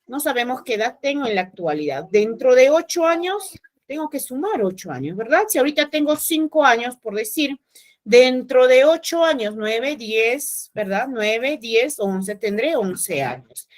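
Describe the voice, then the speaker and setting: A woman's voice: a little high, animated, normal speed. A woman teacher, explaining math step by step in an online class and often checking with her students.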